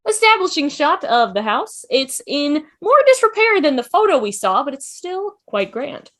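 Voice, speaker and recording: A woman narrates a script's stage directions for a table read. Her voice is high, with very animated intonation as she reads. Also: moderate pace.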